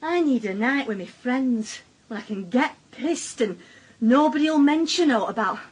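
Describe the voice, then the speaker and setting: A high-pitched, slightly raspy Northern English woman, the put-upon wife of a domestic radio drama. She is blunt and weary, airing her grievances in a natural, everyday way. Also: expressive, measured pace, low-quality recording. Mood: defiant, wry.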